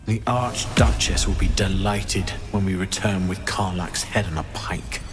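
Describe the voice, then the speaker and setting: Slow-spoken scheming male false paladin. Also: low voice, steady tone, music in the background. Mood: cold menace. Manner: feigned fearful pleading turning to cold, deliberate threats. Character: false paladin, cunning menacing servant of an archdevil. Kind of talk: dramatic video game acting.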